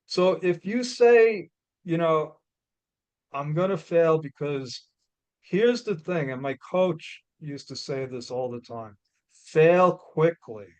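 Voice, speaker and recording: A man with a New York accent speaks loudly and bluntly, with emphatic motivational punch. He talks slowly, and his voice is a little high for a man. The sound is dry and close, with almost no room echo. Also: animated. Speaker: guest speaker. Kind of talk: motivational talk.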